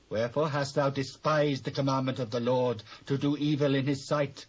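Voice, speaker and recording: A British actor playing a stern, solemn prophet in a dramatised Bible reading, speaking in measured, declamatory phrases. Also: male voice, mid-range voice, natural voice, rough recording.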